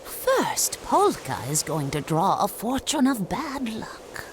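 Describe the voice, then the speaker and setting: An actress voicing a cryptic, solemn old woman fortune-teller in a game cutscene, speaking in a hushed, measured way. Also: medium pitch, huge pitch swings, noisy background. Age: older. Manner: slow, measured, mysterious. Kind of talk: video game cutscene dubbing.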